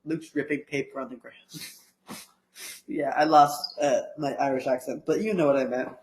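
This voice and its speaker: A young man co-hosting a podcast, chatting casually and joking with playful, deadpan asides. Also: mid-range voice, moderate pace, a little hiss or hum. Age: young adult. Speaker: podcast co-host. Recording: echoey room.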